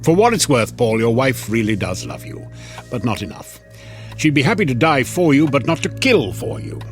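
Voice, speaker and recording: A man's voice: mid-range voice, big pitch swings, normal speed, soft background music, close to an everyday voice. An actor playing a devilish, smug tempter who stops time and toys with his victims in a sardonic, menacing radio drama style. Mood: taunting menace.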